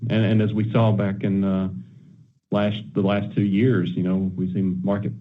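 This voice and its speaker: A man answering as a company executive on an earnings call in a measured, matter-of-fact way. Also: on the low side, little pitch movement. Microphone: telephone conference line. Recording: narrow, tinny conference phone line.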